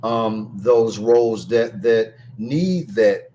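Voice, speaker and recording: A man's voice: lowish voice, takes it slowly, mellow. A man hosting a radio interview, laid-back and casual, using slang and many fillers like "you know what I mean" as he asks his questions. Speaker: radio show host.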